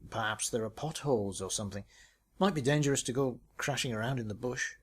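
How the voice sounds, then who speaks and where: A man's voice: medium pitch, brisk, slightly rough, natural voice. Guy doing a mild bookish bloke in a short story, hesitant, musing, bit pedantic. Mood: sheepish.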